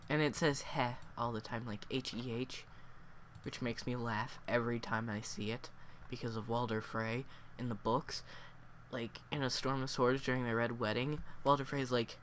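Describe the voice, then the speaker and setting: A man with a cold, sniffling and hoarse, reviewing a story in a casual, rambling way full of fillers. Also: mid-range voice, steady tone, moderate pace, a bit bright, slight background noise. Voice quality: hoarse, worn voice.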